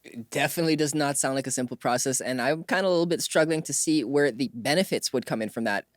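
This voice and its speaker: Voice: medium pitch, fast talker, dry recording, clean studio sound. A podcast host chatting casually in an interview, asking questions in an agreeable, inquisitive way.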